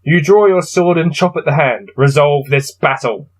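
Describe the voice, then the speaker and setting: Man, slightly high-pitched voice, casual gamebook host, reading aloud, wry and self-deprecating. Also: moderate pace. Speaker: host playing through a gamebook.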